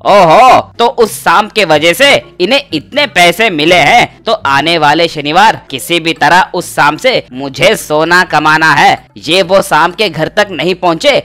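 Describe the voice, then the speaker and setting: A man voicing a sly, greedy, bullying village schemer in an animated storybook tale. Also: on the high side, measured pace, hardly any pauses, faint music behind. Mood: envious, scheming.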